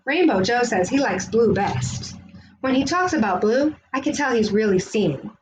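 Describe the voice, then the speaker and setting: A woman reading a children's picture book aloud in an expressive storytelling style. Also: on the high side, normal speed, background noise.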